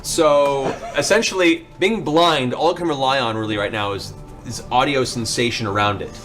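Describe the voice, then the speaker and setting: A man's voice: mid-range voice, normal speed. A man running a tabletop fantasy game as game master, narrating in a descriptive, dramatic storytelling way. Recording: music under the voice.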